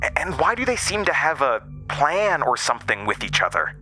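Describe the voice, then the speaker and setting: A man's voice: a little high, very animated, moderate pace, pushed and bright, faint music behind, voice pushed up. A man playing a wary, worried boy at camp, speaking cautiously in an audio drama. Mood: worried, hesitant. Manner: hesitant, cautious.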